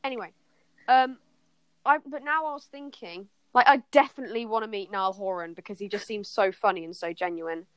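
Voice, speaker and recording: A woman's voice: medium pitch, brisk, a bit bright, clear, resonant tone, no echo. A young woman co-hosting a podcast in a gushing, slangy, casual chat with friends. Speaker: podcast co-host.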